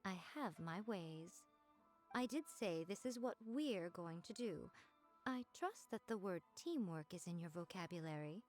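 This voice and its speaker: A woman's voice: on the low side, animated, unhurried, a little mellow. A woman voicing a poised, sly, teasing female boss in a video game, composed and articulate. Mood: confident, scheming. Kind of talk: video game character acting. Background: game music.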